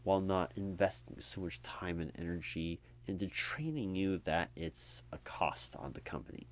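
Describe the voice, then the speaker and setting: A man doing a conversational ASMR roleplay as an apathetic, low-energy recruiter, rambling in a jaded, sardonic way. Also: fairly even intonation, normal speed. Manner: rambling, sardonic asides mimicking corporate talk.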